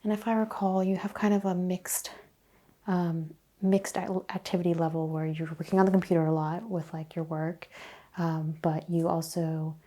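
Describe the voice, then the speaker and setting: A woman playing an attentive, reassuring chiropractor in a soft-spoken ASMR roleplay, asking questions in a hushed, unhurried way. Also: lowish voice, steady tone, close to an everyday voice. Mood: reassuring, methodical. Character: attentive, reassuring female chiropractor taking a history. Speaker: ASMR performer playing a clinician.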